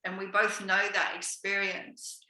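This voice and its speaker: A woman hosting a conversation, her voice croaky and a little weak after an illness, affirming her guest warmly and asking about her experience. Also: mid-range voice, little pitch movement, measured pace, roomy sound, low-quality recording. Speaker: host. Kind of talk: casual conversational interview.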